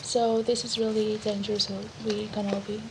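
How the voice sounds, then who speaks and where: A woman's voice: medium pitch, level tone, moderate pace, noisy background. A young woman from Greece, a student guest, answering hesitantly with many fillers. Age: teens or young adult.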